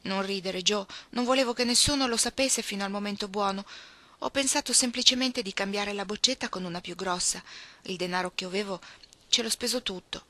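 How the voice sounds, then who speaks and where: A woman's voice: medium pitch, fairly quick, sharp tone, close to an everyday voice. A woman voicing an earnest little girl, the youngest sister in an audiobook, serious and humble. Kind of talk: audiobook character voice.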